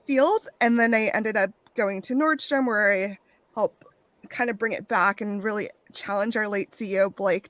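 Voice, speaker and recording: A woman's voice: mid-range voice, no echo. A woman introducing herself on a panel in an upbeat, casual way with fillers.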